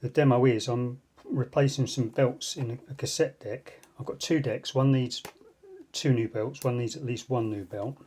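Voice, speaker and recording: A man's voice: medium pitch, fairly even intonation, moderate pace, dark tone, a little hiss or hum. A man hosting an unboxing video with casual, unscripted commentary and dry, self-deprecating asides. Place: indoors at a tabletop. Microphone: phone filming the tabletop.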